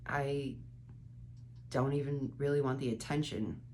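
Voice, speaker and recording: A congested woman in her thirties records a late-night vlog at home, her voice sitting unusually low. She talks openly and wanders between thoughts, now and then cursing for emphasis. A faint hum runs underneath the phone-mic recording. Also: fairly even intonation, measured pace, a little mellow. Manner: candid, rambling, occasional swearing. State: stuffy, congested nose. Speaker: vlogger.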